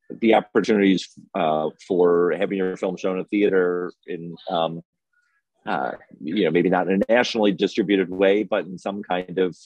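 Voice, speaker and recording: A man speaking off the cuff as a panel guest, halting and feeling for his words. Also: deep voice, no room sound, dull audio. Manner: halting and hesitant, groping for words. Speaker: film festival programmer and panel guest. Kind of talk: unscripted panel conversation. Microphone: video call.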